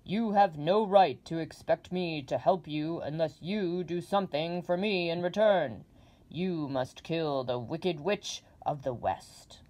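A woman voicing a giant disembodied wizard head that speaks in slow, loud, stern demands. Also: low-pitched. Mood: commanding. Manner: slow, loud, imperious proclamations.

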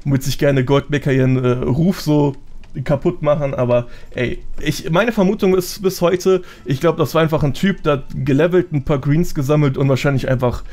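A man's voice: fairly high pitch, moderate pace, darkish tone. A young man as a podcast guest, telling anecdotes in a casual, slangy way. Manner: slangy, chatty.

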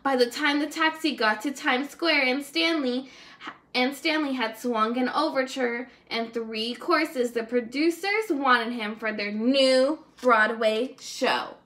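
A young woman reads a children's storybook aloud in a high-pitched voice. She sounds like a teacher with a class of small children, expressive in delivery and now and then stumbling on a word. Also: slow pace. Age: young adult. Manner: expressive, with stumbles over words. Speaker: teacher reading aloud to first graders. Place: indoors, small room at home.

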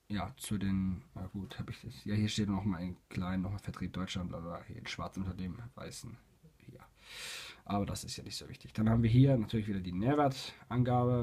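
A young man with a cold, casually reviewing a product and talking in a halting way with many fillers and restarts. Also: lowish voice, mellow, slightly rough. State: has a cold. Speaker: YouTube reviewer. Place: indoors at a table, voice-over with hands on camera.

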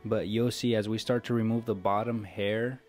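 This is an American man giving a casual instructional voice-over. His voice sits on the low side and barely moves in pitch, staying flat and steady as he explains things step by step. Light guitar music plays behind him. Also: moderate pace. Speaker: barber giving a tutorial. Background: background music with guitar.

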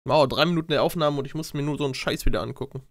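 A man's voice: fairly high pitch, rapid-fire, no echo, clean studio sound. A young man doing casual let's play commentary on a headset, grumbling and swearing as he goes. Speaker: let's player. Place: indoors at a computer. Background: game music.

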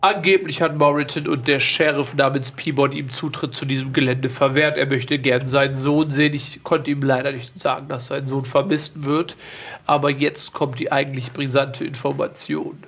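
A man's voice: medium pitch, steady tone, normal speed, fairly bright tone. A man playing a foppish, wealthy lord in an improvised audio drama, pompous, formal and condescending. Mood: formal, conspiratorial. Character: smug, rich, foppish English lord.

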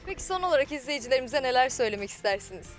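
A young woman reporter speaks quickly in a high, fluent voice into a handheld mic outdoors by the sea. Her phrasing is smooth and polished like a presenter's, with faint music under her voice. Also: lively intonation. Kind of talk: on-camera interview. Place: outdoors by a beach under trees.

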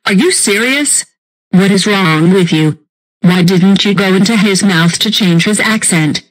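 A woman's voice: medium pitch, a little slow, breathy edge, rough recording. A woman playing a bossy, exasperated little character in a scripted comedy skit, talking in a demanding way.

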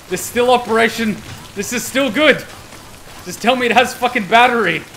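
A man's voice: extremely high pitch, moderate pace, pushed and bright, slight background noise, poor audio. A man giving casual gameplay commentary, muttering to himself and swearing in exasperation. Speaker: gaming streamer.

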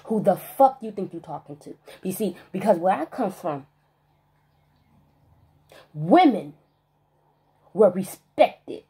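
A woman vlogger in a spiritual rant monologue, emphatic and profane, stressing single words with long pauses. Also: medium pitch, lively intonation, warm tone, slightly rough.

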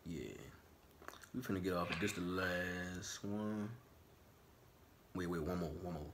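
A man's voice: deep voice, a little slow, background noise. A young man chatting with strangers on a video call from his bedroom, in a laid-back, teasing, dismissive way. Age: young adult. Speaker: vlogger on random video chat. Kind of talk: casual teasing chat. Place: small bedroom indoors. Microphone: webcam or phone camera.

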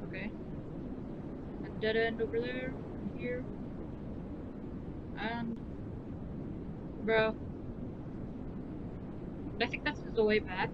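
A woman's voice: steady tone, extremely slow, long pauses. A woman doing casual, informal let's play commentary, muttering to herself as she plays a game. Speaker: gaming video host. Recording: muffled audio with loud rumbling noise.

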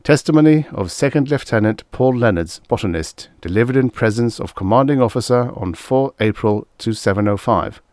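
A man's voice: mid-range voice, animated, normal speed, few pauses, a little mellow, dry recording, clean studio sound, pitched above the performer's own voice, close to an everyday voice. A man voicing a stern commanding officer of an outpost in an audiobook, with an authoritative manner. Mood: controlled command.

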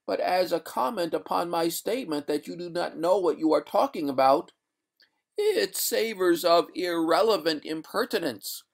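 A man's voice: high-pitched, gravelly, pitched above the performer's own voice. A man voicing an indignant, doomed ghost maiden in a storybook, with a gurgling voice and haughty, old-fashioned formal speech. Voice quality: gurgling, watery. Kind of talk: storybook character voice.

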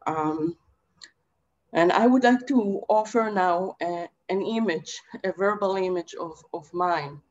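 Slow, thoughtful woman on a lo-fi video call. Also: on the low side. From Israel. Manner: measured, thoughtful, many pauses and fillers. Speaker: panelist poet. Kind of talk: reflective panel talk. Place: home study with bookshelves. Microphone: video call webcam. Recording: dull lo-fi video call audio.

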